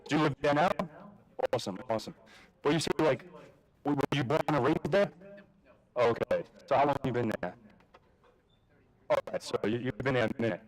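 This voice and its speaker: A man's voice: mid-range voice, fairly quick, long pauses, low-quality recording. A man from Queens, speaking casually at a live conference, full of fillers, restarts and swearing. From Jamaica, Queens, New York. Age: adult with a daughter. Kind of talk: personal story talk at a live event.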